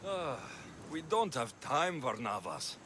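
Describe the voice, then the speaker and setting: A man playing a gruff, blunt young Greek mercenary in a video game, terse and impatient with dry delivery. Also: mid-range voice, sing-song, slow, background noise. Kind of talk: video game cutscene acting. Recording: music under the voice.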